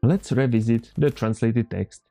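A man in his thirties with a Czech accent, calmly walking viewers through a computer tutorial step by step as the channel's host. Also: medium pitch, a little slow, vocal fry, faint music behind. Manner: calm, methodical step-by-step explaining. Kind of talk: screen-recorded software tutorial. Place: home room, indoors.

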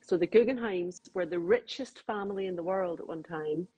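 Chatty Glaswegian woman with a husky voice. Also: on the low side, dark tone, highs cut off. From Scotland. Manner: chatty storyteller, quick, laughs often. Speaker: crime novelist guest. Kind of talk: casual conversational interview.

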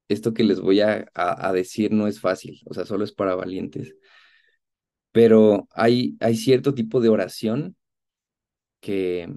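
A man of about thirty with a Mexican accent, giving an earnest, conversational personal testimony in a halting way over a video call. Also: lowish voice, mellow. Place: indoor room at home, on a video call.

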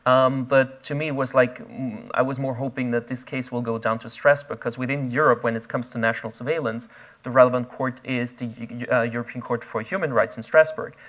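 A young man, speaking onstage at a conference, quick and restless with many fillers and restarts, recorded on a lapel mic. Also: mid-range voice, steady tone, fairly bright tone. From Austria. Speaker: invited speaker. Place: conference stage before an audience.

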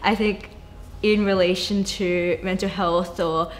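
A woman's voice: fairly low pitch, fairly even intonation, takes it slowly, a little hiss or hum. Young woman chatting in a studio. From Australia. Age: young adult. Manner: thoughtful, uses many fillers like "like". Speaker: panel guest. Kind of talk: casual group conversation. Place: bright studio with plants, indoors.

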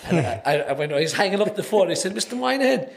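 A man's voice: high voice, sing-song, fast, vocal fry. A man in his thirties with a Cork Irish accent, a podcast guest chatting casually and rambling through stories with many restarts. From Ireland. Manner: rambling storyteller, many restarts and repeated words. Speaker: podcast guest, singer-songwriter. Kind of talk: casual chat. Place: small podcast studio indoors. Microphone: desk mic on boom arm.

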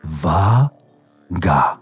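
A woman's voice: a bass voice, animated, husky, soft background music, exaggerated voice. A woman playing a computer's memory as a soothing, bossy yoga guru in a children's radio play, drawing out her words like a meditation teacher. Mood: calm, guiding. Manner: soothing, drawn-out, mock-meditative.

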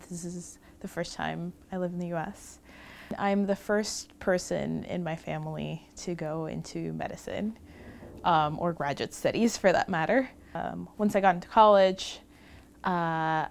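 A young woman from Puerto Rico, a research scholar, talks about her work in a documentary interview, pausing often with ums between phrases. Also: on the low side, creaky, a little mellow, slight background noise. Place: office lined with bookshelves.

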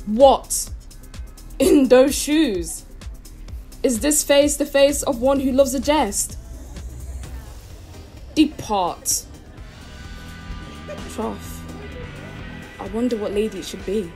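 Woman acting exasperated suspicious sister, high voice, slow theatrical delivery, old drama. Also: dark tone, faint music behind. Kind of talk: Jacobean audio drama, theatrical.

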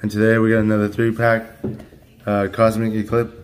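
Sick guy with a hoarse flat voice, lots of uhs, chatting at a table at home. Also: on the low side. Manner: halting, many fillers and restarts, monotone. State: losing his voice from illness. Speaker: card-opening channel host. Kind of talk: casual unboxing talk.

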